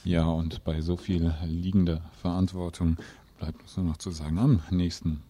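A man's voice: deep voice, little pitch movement, normal speed, dark tone. A man co-presenting on radio, chatting as he signs off. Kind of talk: studio sign-off chat.

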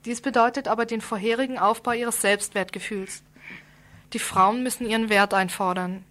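A woman's voice: a little high, fairly even intonation, normal speed, fairly bright tone. A woman presenting a scripted radio report, reading it out in an earnest, formal way.

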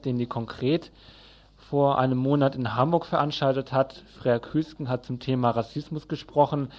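A man's voice: medium pitch, darkish tone. A man hosting a community radio news show, giving a semi-scripted live rundown of the programme.

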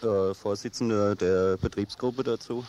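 Deep-voiced male interviewer, halting speech. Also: fairly even intonation, dark tone. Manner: halting, repeats words. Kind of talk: unscripted interview questions. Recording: faintly noisy interview recording.

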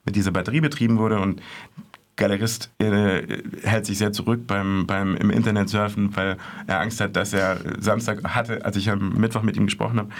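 Low-voiced hesitant male radio correspondent. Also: flat delivery, moderate pace. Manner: hesitant, many fillers and restarts. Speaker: art correspondent. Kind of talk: casual radio chat, reporting on art fairs.

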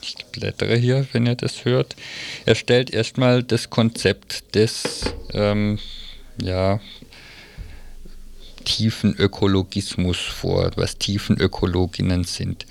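Halting, gravelly low-voiced man on radio. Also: flat delivery. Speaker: radio presenter reviewing a magazine. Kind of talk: informal spoken review on community radio.

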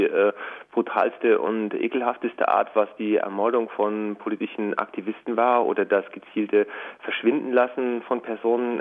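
Over a narrow, phone-like connection, a man with a fairly low voice answers as a radio interview guest. He explains in a thoughtful way, halting now and then mid-phrase to find his wording. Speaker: interview guest from a Latin America news agency project. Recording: narrow, tinny phone-like line.

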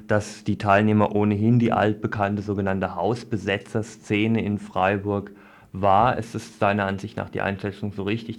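A man's voice: on the low side, gravelly. A man hosting a local radio news interview, speaking informally with some hesitant phrasing.

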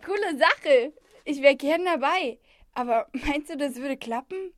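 A woman's voice: sits high, animated, measured pace, no echo, studio quality. A woman playing a cool, frustrated young street songwriter in a radio play, talking in a casual, slangy, hesitant way. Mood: curious. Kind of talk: German radio play dialogue.

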